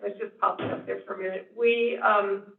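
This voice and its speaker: A woman's voice: medium pitch, fairly even intonation, moderate pace, gravelly. A woman giving a finance report at a school board meeting, plain-spoken and thinking aloud with fillers. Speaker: school district finance officer. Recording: echoey room, far from mic, thin lo-fi line.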